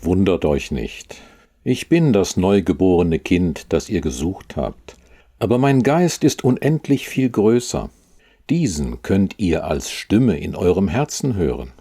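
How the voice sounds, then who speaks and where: A man voicing a newborn divine king child with a solemn, husky, deep voice in an amateur church nativity play. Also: unhurried, exaggerated voice. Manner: calm, solemn, reassuring.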